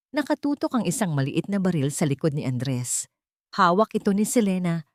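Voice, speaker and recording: A woman with a fairly low voice narrates a scripted drama in the first person. Her delivery is theatrical storytelling, and the recording is close and dry. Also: a little mellow, dry recording.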